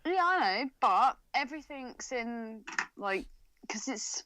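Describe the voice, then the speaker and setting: A woman's voice: a little high, lively intonation, slow, background noise. A woman co-hosting a podcast in a playful, teasing, casual way. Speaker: podcast co-host. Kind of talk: casual chat.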